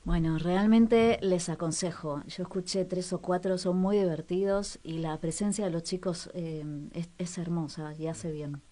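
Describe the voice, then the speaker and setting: A woman co-hosting a casual radio program, talking in a relaxed way with hesitant fillers. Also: lowish voice, unhurried, hardly any pauses.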